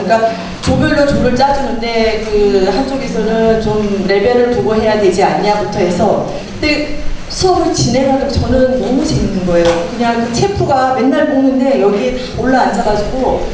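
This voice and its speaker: A middle-aged woman with a Gangwon Korean accent, chatting as a guest speaker about a local club and telling her story with many fillers. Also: on the high side. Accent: Gangwon Korean dialect. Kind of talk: casual talk to a gathering. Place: community meeting room. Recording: echoey, distant, noisy room.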